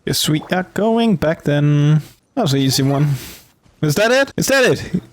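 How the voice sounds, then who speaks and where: A man's voice: fairly high pitch, big pitch swings, moderate pace. A man giving casual, offhand commentary while playing through a video game. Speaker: gaming streamer.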